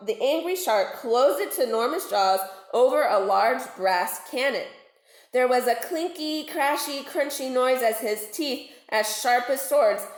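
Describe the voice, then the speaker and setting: A woman reading a children's storybook aloud like a teacher, deliberate and expressive with dramatic emphasis. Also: fairly high pitch. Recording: roomy, echoing home recording.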